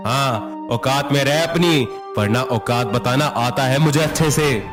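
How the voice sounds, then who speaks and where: A man's voice: medium pitch, measured pace, music in the background, lightly acted. A man playing a harsh, fault-finding Indian husband in a dramatised story, sarcastic and threatening. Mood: angry, threatening. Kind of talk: dramatised Hindi moral story acting.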